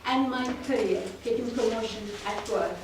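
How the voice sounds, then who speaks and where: A woman's voice: a little high, normal speed, background noise. A woman from Sri Lanka giving a prepared club speech, telling her story in an earnest, self-deprecating way. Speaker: club speaker. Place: meeting room. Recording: roomy hall, mic at a distance.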